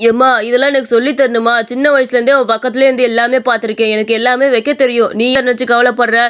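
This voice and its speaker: A woman's voice: a little high, level tone, fast, fairly bright tone, clean tone, lightly acted. A woman voicing a brash, petulant and demanding young man in a story. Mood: upset, caring. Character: brash, demanding young man, idle son of idli seller.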